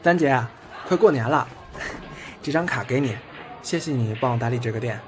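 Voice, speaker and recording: A man's voice: mid-range voice, normal speed, lightly acted. A man acting a cheerful, doting young man in a Chinese audio drama, playful and boyish.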